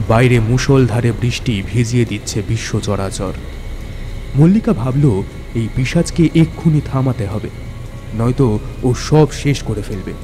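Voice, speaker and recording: A man tells a scripted horror tale as its narrator. His voice is mid-pitched and dramatic, with music clearly playing underneath. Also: warm tone. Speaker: storyteller, narrator.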